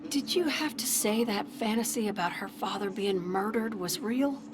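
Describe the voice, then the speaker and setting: A woman's voice: mid-range voice, normal speed, close to an everyday voice. A woman playing a weary, worried working mother with a strained manner in a video game. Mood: reproachful.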